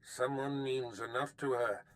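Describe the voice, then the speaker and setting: A man's voice: mid-range voice, steady tone, measured pace, fairly bright tone, smooth, natural voice, low-quality recording. Scheming, imperious Sith Lord played by a man. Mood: urgent, commanding. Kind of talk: video game voice acting.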